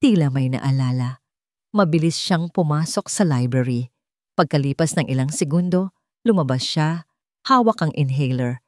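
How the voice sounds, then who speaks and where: A woman's voice: sits low, lively intonation, moderate pace, a little mellow, no room sound, studio quality. A woman with a Filipino accent reading dramatic scripted narration as a storyteller.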